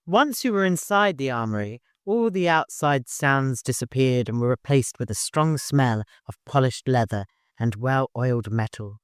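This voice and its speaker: A man's voice: mid-range voice, expressive, normal speed, clean tone, no echo, studio quality. A man reading scripted literary narration as an audiobook narrator.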